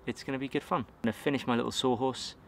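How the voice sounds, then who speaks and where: An English man in his thirties talking casually to camera outdoors in a garden, relaxed and rambling with fillers and self-corrections. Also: mid-range voice, racing, vocal fry, slight background noise. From England. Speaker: outdoors vlogger. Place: outdoors in a garden by a wooden shed.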